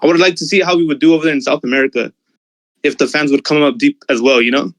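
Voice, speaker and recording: A man's voice: fairly high pitch, steady tone, quick pace, rough recording. A man giving opinions as a fan in a casual, slangy chat, often saying like and you know. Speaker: discussion panelist, football fan.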